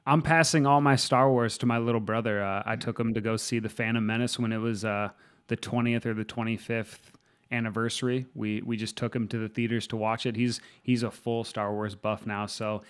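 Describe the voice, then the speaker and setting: Wry young podcast co-host. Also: male voice, lowish voice, fairly even intonation, fairly quick, clean tone. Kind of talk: casual podcast chat. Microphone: large studio microphone, video call.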